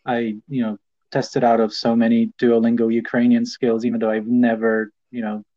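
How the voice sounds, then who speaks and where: A man co-hosts a casual podcast. He speaks hesitantly, with frequent fillers and restarts, and talks down his own skills. His voice sits at an ordinary pitch, and the recording sounds a bit dull and lo-fi. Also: completely flat delivery, normal speed, dark tone. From Poland. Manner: hesitant, many ums and restarts, self-deprecating. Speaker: podcast co-host.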